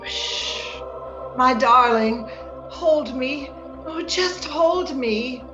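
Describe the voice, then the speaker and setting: Actress as fevered young lady, dreamy romantic radio acting, very high voice, echoey dull recording. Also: very slow. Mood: yearning, sorrowful. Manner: dreamy, yearning, theatrical. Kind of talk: old-time radio drama acting. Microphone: recorded remotely over video call. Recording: echoey, dull video-call sound, music underneath.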